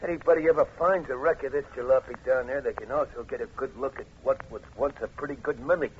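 An actor playing a crude, callous gangster henchman in a 1950s radio crime drama, with slangy, rough tough-guy talk. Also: mid-range voice, fast talker, close to an everyday voice. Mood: callous griping. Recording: dull, noisy old radio recording.

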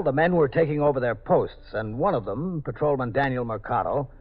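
An American man playing a police captain who narrates his night tour in a measured, matter-of-fact, official manner in a 1950s radio drama. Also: medium pitch. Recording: dull 1950s radio broadcast.

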